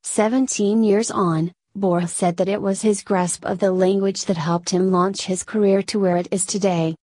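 A woman reading a news feature aloud in an even, steady delivery. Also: medium pitch, moderate pace, dry recording. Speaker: news reader.

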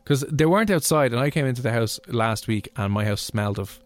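Fast-talking Irish male radio host. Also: mid-range voice, lively intonation. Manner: chatty, rambling storyteller. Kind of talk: casual radio chat. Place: radio studio.

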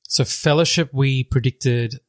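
A man's voice: mid-range voice, unhurried, no echo, clean studio sound. A man with a congested, nasal voice from a cold, chatting eagerly as a podcast guest. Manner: chatty, eager, self-correcting restarts. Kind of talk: casual chat.

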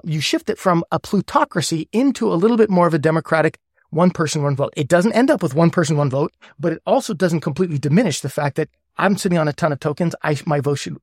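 Higher-voiced man explaining with examples. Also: brisk, dry recording, studio quality. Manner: walks through worked examples, checks in with "right?". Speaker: guest, governance lead. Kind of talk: explanatory interview talk.

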